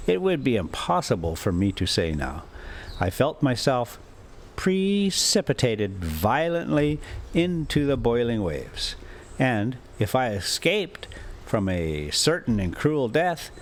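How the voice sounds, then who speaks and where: A man reading a classic adventure novel aloud as an amateur storyteller, with dramatic, deliberate phrasing and pauses. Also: mid-range voice, a little hiss or hum. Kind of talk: expressive amateur audiobook narration with casual asides.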